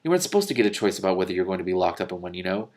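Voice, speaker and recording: A man's voice: low voice, big pitch swings, rapid-fire, a little mellow, voice pushed down. A man voicing a practical, forthright young princess in a storybook.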